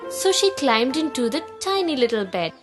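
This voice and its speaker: Female narrator for children's fairy tales; high voice, very lively intonation, deliberate expressive delivery. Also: over music. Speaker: storyteller.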